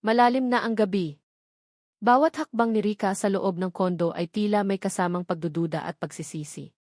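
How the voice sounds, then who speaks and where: A woman's voice: medium pitch, normal speed, a little mellow, no room sound, clean studio sound. A woman with a Filipino accent reading a scripted dramatic story as its narrator.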